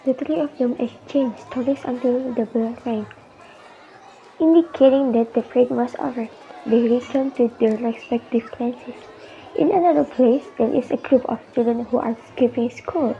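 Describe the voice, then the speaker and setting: A woman's voice: fairly high pitch, normal speed, a faint crowd, a little hiss or hum. A young woman with an Indonesian accent narrating a radio drama from a script. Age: young adult. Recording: dull lo-fi student recording.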